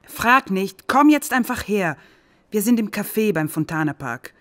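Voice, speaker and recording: A woman's voice: medium pitch, lively intonation, a little slow, natural voice. An actress playing a skeptical young woman who talks plainly and directly in a radio play. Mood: urgent. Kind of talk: radio drama acting.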